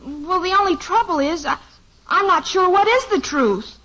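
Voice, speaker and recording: Brief: a lovestruck teen girl in an old radio comedy, high bright voice, breathless and fretful, dull vintage sound. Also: animated, normal speed. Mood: flustered. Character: flustered lovestruck teenage daughter. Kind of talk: 1950s radio acting.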